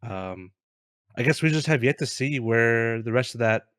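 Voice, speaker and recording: A man chatting casually about games as a co-host, in a measured, somewhat tentative way. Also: medium pitch, expressive, fairly bright tone, dry recording, clean studio sound. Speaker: co-host of a gaming news show.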